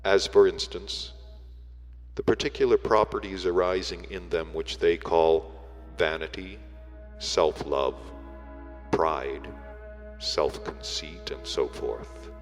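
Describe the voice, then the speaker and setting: A man reading a book aloud as solemn, scripted narration, in measured, deliberate phrases with weighty breaks. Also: sits low. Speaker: audiobook reader. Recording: long reverb, music behind the voice. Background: music.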